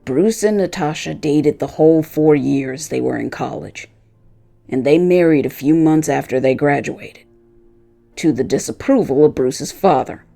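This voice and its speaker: A loyal, vengeful dog voiced by a narrator tells his story in the first person. The voice is soft-edged and gravelly, with deliberate dramatic phrasing over faint music. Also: medium pitch. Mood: recounting.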